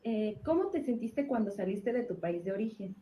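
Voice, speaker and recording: An echoey, slightly noisy recording of a woman interviewer. Her voice is soft-toned and mellow as she asks brief, gentle questions. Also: medium pitch, sing-song, normal speed. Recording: roomy, faintly noisy played-back video.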